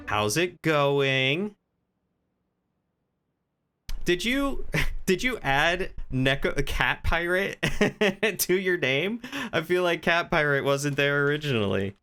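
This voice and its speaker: Man, bright clear voice, mid pitch, unhurried, chatty livestreamer, playful, thinking out loud. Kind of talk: casual gaming livestream chat.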